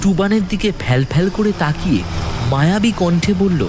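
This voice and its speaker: A man's voice: high voice, animated, moderate pace, background noise. A man narrating a scripted horror story in a dramatic storytelling style. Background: music.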